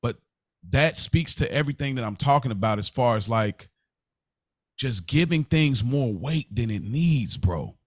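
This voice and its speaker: A man's voice: mid-range voice, expressive, measured pace, no room sound, clean studio sound. An American man co-hosting a podcast, talking casually and reflectively, often repeating and rephrasing as he explains. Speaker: podcast co-host.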